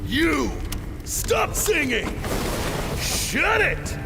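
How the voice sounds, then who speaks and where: Cruel sneering soldier commander. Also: male voice, extremely high pitch, wildly swooping pitch, very slow, vocal fry, over music, heavily acted. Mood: mocking. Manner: sneering, barks orders. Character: brutal male soldier commander, cruel and mocking.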